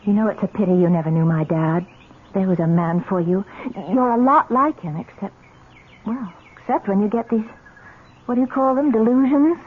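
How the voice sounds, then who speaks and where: Female actor as caring young fiancée in radio mystery: medium pitch, gently probing, phone-like vintage recording with faint hiss. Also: moderate pace, lightly acted. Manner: solicitous, questioning. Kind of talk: old-time radio drama acting. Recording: tinny noisy old radio recording.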